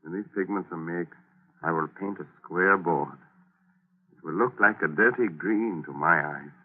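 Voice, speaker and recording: An actor playing an intense, solemn scientist obsessed with his invention, deliberate and portentous, in 1940s radio style. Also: low-pitched. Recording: muffled, phone-like 1940s radio recording.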